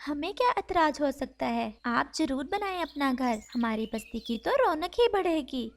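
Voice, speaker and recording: A kindly mother sparrow voiced by a woman in a children's cartoon fable. She speaks high and with lots of melodic movement, courteous and eager to host. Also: sing-song, normal speed. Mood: polite. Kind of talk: Hindi cartoon storybook dialogue.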